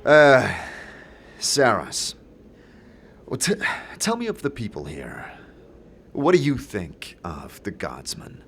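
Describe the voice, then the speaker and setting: A man's voice: fairly low pitch, wildly swooping pitch, slow, long pauses, fairly bright tone, a little hiss or hum, exaggerated voice. A man playing a firm, blunt adventurer questioning people in a fantasy audio drama. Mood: probing.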